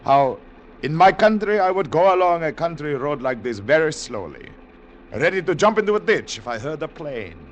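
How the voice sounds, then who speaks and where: A wary spy in an old radio drama, grave and careful, swingy pitch, bit of hiss. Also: male voice, sing-song, moderate pace. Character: weary, wary male foreign confidential agent, on the run. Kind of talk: 1940s radio acting, first-person thriller narration. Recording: noisy old 1940s radio broadcast.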